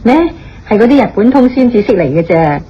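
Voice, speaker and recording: Radio drama role: a cheerful, welcoming innkeeper with a Hong Kong Cantonese accent, mid-range voice, muffled sound. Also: female voice, mid-range voice, expressive, fairly quick, husky. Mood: cheerful, friendly. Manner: friendly, chatty, polite. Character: hospitable, cheerful Japanese inn hostess. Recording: dull radio recording with faint music.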